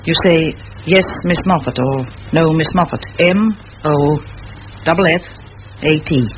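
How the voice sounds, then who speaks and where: An actress playing a middle-aged, exacting spinster schoolmistress with a crisp, commanding, dryly ironic manner in a vintage radio play. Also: female voice, deep voice, takes it slowly. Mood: brisk, questioning. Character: brisk, exacting spinster schoolmistress, dry-witted and forthright. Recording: muffled old radio recording, loud hiss.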